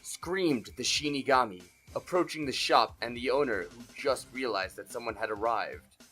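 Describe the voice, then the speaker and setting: A male narrator reads a scripted dramatic story at a middle pitch. Faint music plays underneath his voice. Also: moderate pace, sharp tone, slightly rough.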